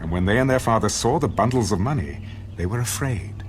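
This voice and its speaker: Low, expressive male scripture narrator. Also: brisk. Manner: solemn storytelling. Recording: loud music bed.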